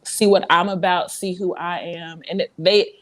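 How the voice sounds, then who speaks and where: A woman's voice: on the low side, steady tone, moderate pace. A young woman from South Carolina with a light Southern accent, talking earnestly and reflectively as a podcast guest, with many restarts. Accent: Southern American. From South Carolina, USA. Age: young adult. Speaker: podcast guest, teacher abroad. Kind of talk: casual podcast conversation.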